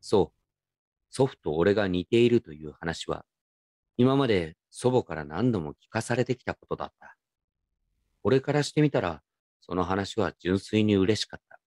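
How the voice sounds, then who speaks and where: A man playing a gentle, nostalgic grown man who narrates his memories in a reflective, unhurried radio drama style. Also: fairly low pitch, animated, no echo, clean studio sound, natural voice. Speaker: narrator and lead actor. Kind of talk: first-person radio drama narration with character dialogue.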